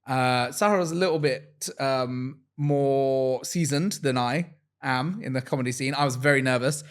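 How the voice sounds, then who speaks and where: A man's voice: mid-range voice, moderate pace, smooth. A young man with an English accent, co-hosting a podcast in a chatty, jokey, self-deprecating way. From England. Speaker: podcast co-host. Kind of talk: casual chat.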